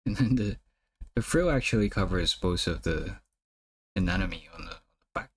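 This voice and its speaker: A man casually chatting on a live stream, hesitantly giving feedback on art, often pausing and repeating words as he thinks. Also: deep voice, lively intonation, slightly rough, no room sound, clean studio sound.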